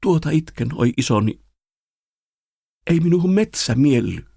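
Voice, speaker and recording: A man performs a fearful young son in a dramatic poetry recital, pitched well above a normal male speaking voice. He pleads and laments, oversensitive and full of dread, in a close, dry sound. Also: lively intonation, creaky, dark tone, slightly rough, dry recording, exaggerated voice. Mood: anguished lament.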